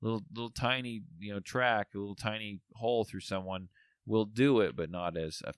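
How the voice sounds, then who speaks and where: A man co-hosting a casual podcast, tired, with a voice going a bit raspy, rambling with lots of fillers. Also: on the low side, fairly quick, no room sound, clean studio sound. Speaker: podcast co-host.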